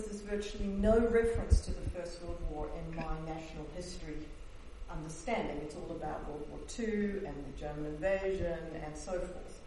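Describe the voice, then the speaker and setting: Hesitant woman historian in echoey hall. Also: on the low side. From Netherlands, raised in New Zealand. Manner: hesitant, many fillers and restarts. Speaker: historian presenting her book. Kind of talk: academic book talk. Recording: echoey hall, distant mic, faint hiss.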